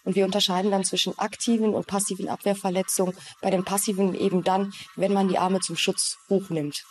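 A female forensic expert explains her field in an instructive way. Her voice sits at a medium pitch. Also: flat delivery, fairly quick.

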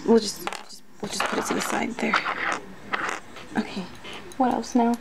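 Soft-spoken ASMR woman, often whispering, noisy recording. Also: fairly high pitch, steady tone, normal speed. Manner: hesitant, muttering to herself as an unsure student. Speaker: ASMR roleplayer. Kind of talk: ASMR roleplay, soft speech slipping into whispers. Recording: noisy close recording with clicks.

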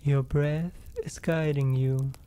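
A man leading a guided meditation, giving calm, measured instructions in short phrases. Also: mid-range voice, clean tone.

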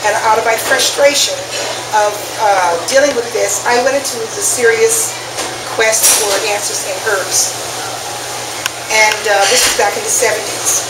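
An older woman giving an informal personal talk to a small group in a restaurant, speaking deliberately with pauses. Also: fairly high pitch, fairly even intonation. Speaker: guest speaker at a business networking meetup. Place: restaurant dining room. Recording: echoey, noisy room, distant mic, loud background hum.

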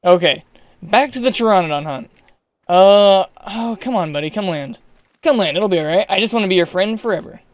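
A young man giving casual, chatty gameplay commentary, excitable and yelling at creatures, a bit hoarse as he is losing his voice. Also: high-pitched, fairly quick, no room sound. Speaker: gaming YouTuber.

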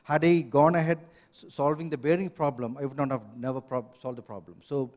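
A man's voice: medium pitch, flat delivery, a little fast, a little mellow. A man on a conference panel giving firm, advisory points with frequent restarts, speaking into a handheld mic in a large hotel hall.

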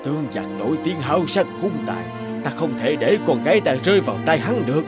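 An aging cartoon emperor, proud and fatherly yet weighed down by war. His delivery swings widely in pitch, halting and full of lament, with music clearly playing underneath. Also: sing-song. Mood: protesting, anguished. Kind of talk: cartoon folk tale dialogue.

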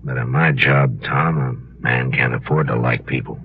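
Very deep, stern frontier marshal. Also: male voice, steady tone. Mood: grave, restrained. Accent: American. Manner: measured, plain-spoken, restrained. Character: stern, weary frontier marshal bound by the law. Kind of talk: 1950s radio western acting. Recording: dull, noisy 1950s radio recording.

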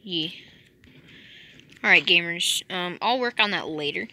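Young woman, casual gaming chatter, frequent "just kidding", slightly low, very bright tone. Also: lively intonation, unhurried, slight background noise. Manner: jokey, rambling, self-correcting with "just kidding". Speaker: channel host. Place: home bedroom. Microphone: phone.

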